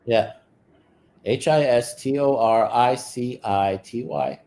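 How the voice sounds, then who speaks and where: A man's voice: mid-range voice, slow pace, dark tone. A man whose first language is Hebrew, speaking in a casual chat, hesitant with many filler sounds but insistent on his point.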